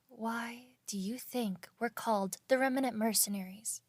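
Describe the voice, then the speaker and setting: A woman's voice: on the high side, slow pace, bright, forceful tone, no echo, full character voice. A woman playing an earnest, insistent scholar of magic in an elite mercenary band, explaining things in an audio drama.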